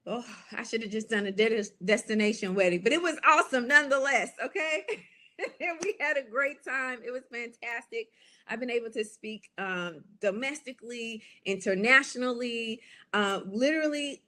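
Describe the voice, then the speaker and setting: Brief: American woman in her 40s, a coach talking to an audience, lively and conversational, voice a little high. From United States. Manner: lively and chatty, with frequent "okay?" and "y'all" asides. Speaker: business coach. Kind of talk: casual live presentation.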